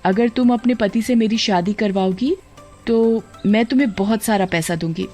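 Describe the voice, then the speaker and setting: A woman's voice: medium pitch, normal speed, darkish tone, close to an everyday voice. A woman voicing a witch disguised as a bold young woman in a cartoon, coaxing and insistent. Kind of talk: Hindi cartoon story voice acting. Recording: background music.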